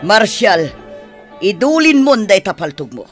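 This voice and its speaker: A Filipino woman acting in a radio drama as an excitable, complaining young village woman. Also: medium pitch, measured pace, over music. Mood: worried, admonishing.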